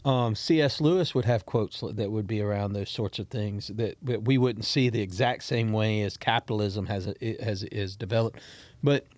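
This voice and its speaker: A man's voice: on the low side, moderate pace, a little mellow, no echo. Rambling, encouraging male podcast host. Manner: rambling, many restarts and repeated words; encouraging, talks straight to listeners. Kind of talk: casual podcast conversation.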